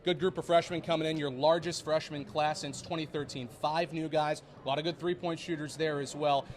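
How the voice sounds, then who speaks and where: A young man with a fairly high-set voice works as a sports reporter. His delivery is polished and broadcast-ready, suited to interviews and narrated features. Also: fairly even intonation, fairly quick. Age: young adult.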